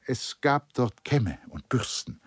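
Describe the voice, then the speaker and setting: A man's voice: medium pitch, very animated, takes it slowly, husky, no echo, studio quality, pitched above the performer's own voice, heavily acted. A man voicing a shy young male waiter who answers in a hesitant, evasive way, read as part of an audiobook.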